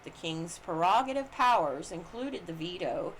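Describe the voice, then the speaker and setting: A woman's voice: lowish voice, lively intonation, normal speed. Woman reading aloud, noisy recording. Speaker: reader of a book on the US Constitution. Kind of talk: reading aloud a scripted legal and historical commentary. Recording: noticeable background noise.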